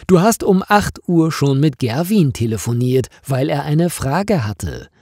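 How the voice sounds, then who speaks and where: A man's voice: on the high side, very animated, measured pace, darkish tone, husky, no echo, studio quality, heavily acted. A man voicing a concerned, helpful female office colleague in caring, questioning storybook dialogue.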